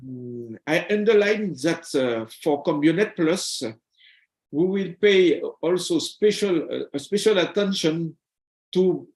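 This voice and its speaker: A man answering as a panel guest, haltingly, searching for his words. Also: on the high side, expressive, darkish tone. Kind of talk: answering questions in a discussion. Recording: echoey room sound.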